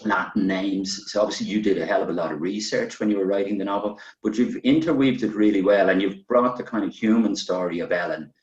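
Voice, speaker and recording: A man's voice: fairly low pitch, brisk, few pauses. A man moderating a literary panel, informally commenting and posing questions in loose, meandering phrases. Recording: echoey, muffled, distant from mic.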